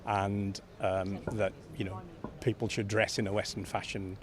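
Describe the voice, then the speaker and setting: A man with a fairly low voice answers an interviewer as a member of the public. His delivery is casual and opinionated, like someone stopped for his view. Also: level tone, measured pace.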